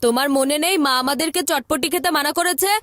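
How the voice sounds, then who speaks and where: A woman voicing an indignant, tattling little brother in an animated cartoon dub, whiny and accusing. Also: high-pitched, fast, no echo, clean studio sound. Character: tattling, indignant younger brother, small boy.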